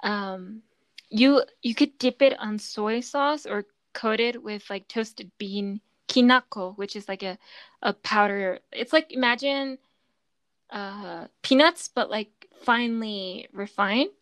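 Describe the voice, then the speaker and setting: A woman's voice: mid-range voice, slow, a bit bright, smooth, dry recording. A woman hosting a casual podcast chat, explaining at length with lots of fillers and restarts. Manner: chatty, explaining with many fillers. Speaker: podcast host.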